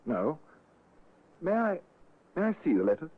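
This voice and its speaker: An actor playing a polite, shocked and grieving husband, acted emotionally for an old radio drama. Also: male voice, on the high side, very animated, a little slow. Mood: anxious, subdued. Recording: muffled, lo-fi old radio recording.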